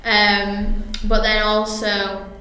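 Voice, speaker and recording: A woman's voice: mid-range voice, completely flat delivery, sharp tone. A woman, a guest speaking about wording, talking in a chatty way full of fillers and restarts. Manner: chatty, lots of fillers and restarts, quick to laugh. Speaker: guest copywriter. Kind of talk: casual podcast interview. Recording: very echoey room.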